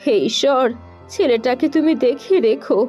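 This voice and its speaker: Woman playing a high-voiced caring village mother. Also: normal speed, a little mellow, lo-fi sound. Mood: prayerful. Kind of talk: Bengali cartoon moral-story voice acting. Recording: music behind the voice.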